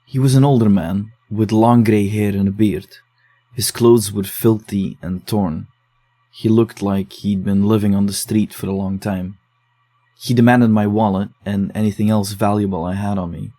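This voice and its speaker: An actor playing a dogged, low-key man investigating case files in a horror audio drama, speaking in a subdued, measured way. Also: steady tone, mellow. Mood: earnest, narrating. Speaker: investigator digging through case notes.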